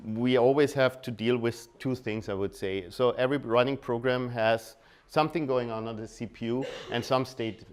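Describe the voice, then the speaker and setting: A man with an Austrian accent and German as his native language, giving an informal technical talk, often halting and rephrasing mid-sentence. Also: mid-range voice, clear, resonant tone. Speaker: conference speaker. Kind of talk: technical presentation at a meetup.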